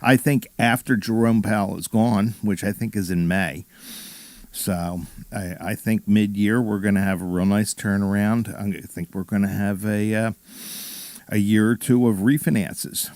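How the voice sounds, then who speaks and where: Man, slightly low voice, dry close sound, mortgage broker, casual talk show guest. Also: fairly even intonation, unhurried, darkish tone, dry recording.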